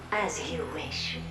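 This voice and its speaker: Servile female mirror assistant for a game: polite, unhurried, highish voice, echoing as if set back. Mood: amused, obliging. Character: obedient female magic-mirror assistants serving a genius mistress. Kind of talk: scripted video game voice acting. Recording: echoey, distant, with music behind.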